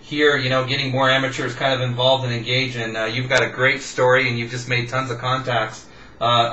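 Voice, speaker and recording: A man's voice: medium pitch, pushed and bright. A middle-aged man, an amateur radio operator, chatting casually on air from a small home radio room. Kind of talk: casual ham radio exchange. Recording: noisy, muffled, echoey room from far off.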